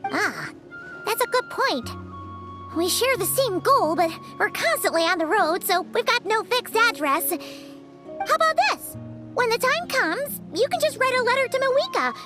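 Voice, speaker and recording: A woman voicing a small, childlike fairy companion in a video game, perky, excitable and protective, with an exclamatory way of talking. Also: very high voice, measured pace, full character voice. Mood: helpful, cheerful. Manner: refers to self in third person, exclamatory. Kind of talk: animated video game voice acting. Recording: music behind the voice.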